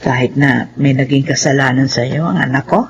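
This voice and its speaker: A woman's voice: rumbling low, a little fast. A woman playing a friendly, hopeful older mother, effusive and pious, in a radio drama. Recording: dull, faintly noisy.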